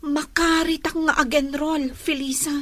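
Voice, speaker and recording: Plucky student friend for radio drama: young, Ilocano accent, high voice, unhurried delivery. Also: female voice, fairly even intonation, dry recording. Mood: spirited. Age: young adult.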